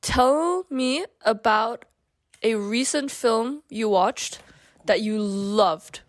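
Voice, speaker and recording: A woman with a fairly high voice hosts a casual interview at a very slow pace. She keeps to short questions and small prompts, recorded dry with little echo. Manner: brief prompts and backchannels. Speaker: podcast host and interviewer.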